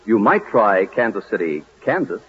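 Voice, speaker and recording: Low-voiced, matter-of-fact official. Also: male voice, very animated, normal speed, lo-fi sound. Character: matter-of-fact male official breaking disappointing news. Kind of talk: 1940s radio comedy acting. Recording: thin, faintly noisy 1940s radio recording.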